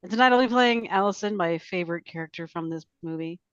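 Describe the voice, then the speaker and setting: A woman's voice: lowish voice, quick pace, clean tone, no echo. A woman voice actor from Los Angeles introducing herself casually on a video call with dull, lo-fi sound.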